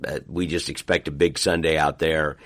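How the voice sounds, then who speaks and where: A middle-aged man, a pastor chatting on a church podcast, slightly hoarse and relaxed, trailing off with fillers. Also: rumbling low, moderate pace. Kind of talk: casual podcast chat.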